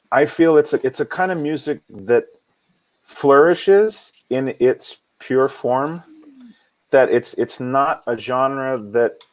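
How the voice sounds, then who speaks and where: A man from Hawaii, an opera singer, talking reflectively in an interview with many halting restarts and repeated words. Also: mid-range voice. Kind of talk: reflective casual interview talk.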